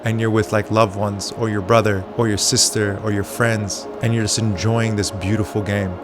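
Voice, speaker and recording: A man who grew up in Japan, a barber, answering interview questions candidly, with soft pauses and frequent fillers. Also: lowish voice, flat delivery, music in the background.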